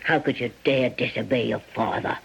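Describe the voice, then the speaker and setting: An actor playing a careworn older farm parent in a radio drama, earnest, folksy and imploring. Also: male voice, mid-range voice, fairly even intonation, fairly quick, gravelly, husky. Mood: alarmed, pleading. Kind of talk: old-time radio drama acting. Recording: slightly noisy radio drama recording.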